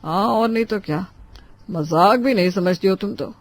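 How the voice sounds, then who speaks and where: A man's voice: high-pitched, normal speed, no echo. A man playing a teasing, kindly middle-aged father-in-law in a dramatized family story.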